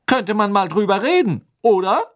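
Cheeky teen prankster wizard, teasing, pitched way up by a male reader. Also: very animated, moderate pace, dry recording, clean studio sound.

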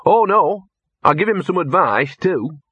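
Storybook talking mutt, played by a man: streetwise, disgruntled, cynical and smug, with a rasp and some bite. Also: sits high, animated, measured pace, a bit bright, dry recording, highs cut off, voice pushed up, exaggerated voice. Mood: smug, disgusted. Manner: grumbling, colloquial, sardonic.

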